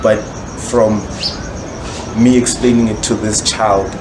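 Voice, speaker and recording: A man's voice: fairly low pitch, level tone, takes it slowly. A South African man speaking casually and reflectively as a podcast guest, explaining himself at length and repeating words for emphasis. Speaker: guest musician, rapper. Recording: echoey room, loud background noise.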